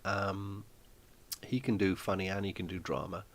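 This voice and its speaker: A man hosting a live stream from his small flat, chatting casually and rambling with lots of ums and restarts. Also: low voice, normal speed. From Wales.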